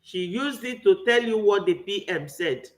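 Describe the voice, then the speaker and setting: A man's voice: high-pitched, lively intonation, normal speed, fairly bright tone. A man with a Nigerian accent giving an impassioned political commentary, full of rhetorical questions. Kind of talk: online political commentary monologue.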